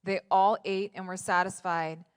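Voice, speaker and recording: A woman's voice: fairly low pitch, steady tone, moderate pace, smooth. A young adult woman from the Philadelphia area, a guest speaker at a church, talking in an earnest, conversational way with frequent ums and reading scripture aloud. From United States, Philadelphia area. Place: church sanctuary.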